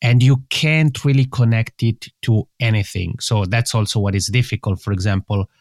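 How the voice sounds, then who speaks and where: A man's voice: lowish voice, steady tone, moderate pace, darkish tone, dry recording, clean studio sound. A young man from the Italian-speaking part of Switzerland with a Swiss Italian accent, answering interview questions at length in a friendly way. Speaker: podcast guest.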